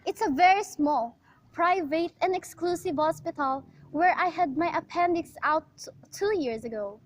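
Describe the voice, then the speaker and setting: A woman's voice: very high voice, moderate pace. A woman playing a frantic, demanding and self-pitying invalid wife in an amateur radio play, speaking with agitated, pleading melodrama. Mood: agitated, impatient.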